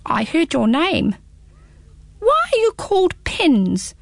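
A prim little storybook hedgehog, as a woman puts him on, talking in a high, lively squeak. The voice is chatty and fussy and swoops a lot. It sounds close and dry. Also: slow pace, creaky, no echo, exaggerated voice. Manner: talkative, prim, rambling. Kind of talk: storybook read-aloud.

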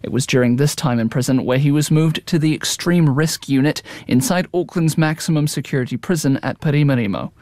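A man's voice: fairly high pitch, a little fast, hardly any pauses, darkish tone, no echo, clean studio sound. A New Zealand man reporting from a court inquest, reading a formal scripted news piece.

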